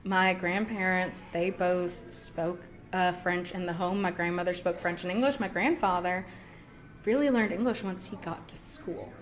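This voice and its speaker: Young woman, lively museum guide. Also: lowish voice, normal speed. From Cow Island, Louisiana. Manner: lively, with frequent fillers and laughs. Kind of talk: interview answers. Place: indoors at a heritage village, on location.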